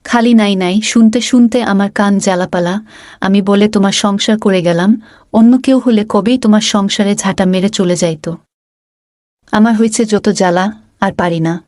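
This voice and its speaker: A woman's voice: medium pitch, a little fast, a little mellow, dry recording, clean studio sound, natural voice. Woman voicing a nagging, fed-up cartoon wife. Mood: exasperated. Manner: complaining, scolding. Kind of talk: Bengali cartoon dialogue.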